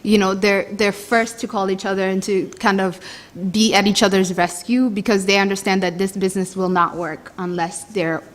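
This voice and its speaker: A woman's voice: mid-range voice, steady tone, normal speed. A young woman from Congo with a Congolese accent that leans American, speaking earnestly to an audience as a nonprofit co-founder, often restarting her phrases. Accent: Congolese, shifting toward American. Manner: earnest, many restarts and fillers. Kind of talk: personal speech to an audience.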